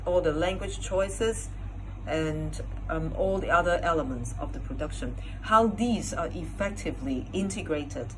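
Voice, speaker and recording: A woman with a distinctly low voice gives explanatory feedback as a judge. The recording carries clearly audible background noise. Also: low-quality recording. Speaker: competition judge.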